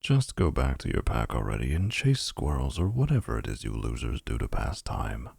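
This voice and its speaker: A man playing a gravelly, smug vampire flirt. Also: very deep voice, huge pitch swings, normal speed, darkish tone, no echo, heavily acted. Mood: scornful.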